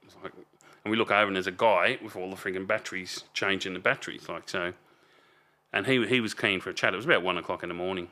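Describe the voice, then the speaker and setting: A loud Australian man in his fifties with a fairly low but bright, forceful voice. He tells stories casually and rambles, often trailing off mid-sentence and starting over. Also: quick pace. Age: 50s. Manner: rambling, trails off and restarts a lot. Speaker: podcast guest. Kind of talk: casual storytelling chat.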